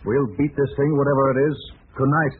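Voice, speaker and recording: An actor playing a resolute amateur detective in an old radio mystery, crisp, urgent and authoritative, on a tinny recording. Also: male voice, mid-range voice, level tone, a little fast, soft background music, natural voice. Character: commanding, quick-thinking male amateur detective, protective and resolute. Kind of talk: vintage radio mystery acting.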